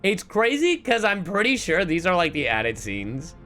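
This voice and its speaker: Casual livestream host needed: mid-pitched, hugely expressive and theatrical, talking over game music. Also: normal speed. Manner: theatrical reactions, swooping between exclamations and low asides. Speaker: streamer. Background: video game music and dialogue.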